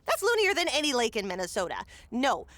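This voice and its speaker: A woman's voice: sits high, huge pitch swings, fast, fairly bright tone, no echo, exaggerated voice. A woman playing a scheming, impatient small-town mayor in a comedy audio drama, bossy and dismissive in a theatrical way. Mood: exasperated, scheming.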